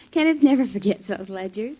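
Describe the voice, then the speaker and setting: An actress playing a polite, anxious office secretary in a 1940s radio drama. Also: female voice, mid-range voice, expressive, moderate pace, slightly rough. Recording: muffled, noisy 1940s radio recording.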